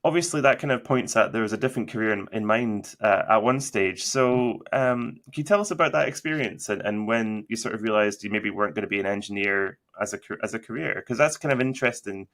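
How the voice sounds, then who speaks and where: He has a low voice and leads the interview in a relaxed, conversational way. His questions ramble, packed with fillers like "sort of" and "kind of," and he often restarts them before getting to the point. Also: fairly quick, few pauses.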